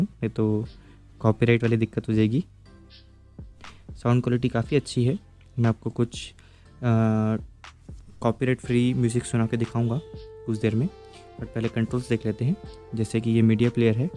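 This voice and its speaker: A man's voice: medium pitch, dark tone. A man giving a casual, step-by-step product review, explaining patiently and checking in with the viewer as he goes.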